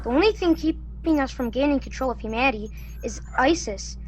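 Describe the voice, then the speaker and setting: A woman playing a cautious, deliberate young tech-minded colonist in a student sci-fi radio play. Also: high-pitched, expressive, a little mellow. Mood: determined.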